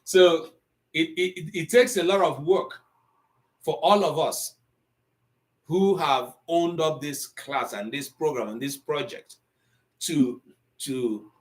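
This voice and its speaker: A man's voice: a little high, takes it slowly, long pauses. A middle-aged man teaching an Igbo language class online, lecturing in a conversational way that mixes English and Igbo.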